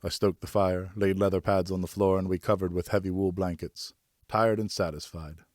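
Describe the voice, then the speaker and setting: A man's voice: sits low, little pitch movement, moderate pace, a little mellow, dry recording, clean studio sound. A man reading a scripted first-person novel as an audiobook narrator.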